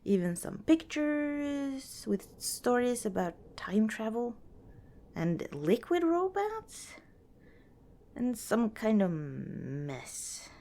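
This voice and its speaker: A woman playing a kind, cautious young scavenger in an ASMR roleplay, speaking softly and hesitantly, as if chatting to the listener. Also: a little high, big pitch swings, very slow, heavily acted. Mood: wry, musing. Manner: soft, hesitant, conversational, with sighs and small laughs.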